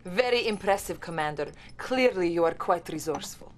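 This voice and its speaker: An actress playing a young Soviet information officer giving formal, urgent mission briefings. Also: female voice, on the low side, animated, moderate pace, soft background music, natural voice. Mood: approving.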